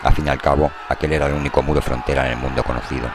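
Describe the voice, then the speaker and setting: A man narrating a dramatized novel in a measured, literary storytelling style. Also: a bass voice, level tone, background noise. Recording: prominent music under the voice.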